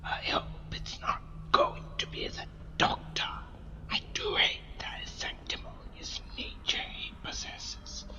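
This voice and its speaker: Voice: slow pace, bright, forceful tone, roomy sound, long reverb tail. Hissing, whispering alien monster. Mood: silky mocking. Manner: formal, sardonic. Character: sinister whispering soul-devouring alien monster, mockingly courteous and haughty. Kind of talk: audio drama acting.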